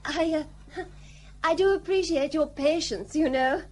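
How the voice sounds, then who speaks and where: Actress as a coy, high-voiced colonial lady. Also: measured pace, darkish tone, a little hiss or hum. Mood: coquettish. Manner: genteel, wheedling, coquettish. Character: flirtatious, coy colonial British lady, falsely helpless. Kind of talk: period radio play acting.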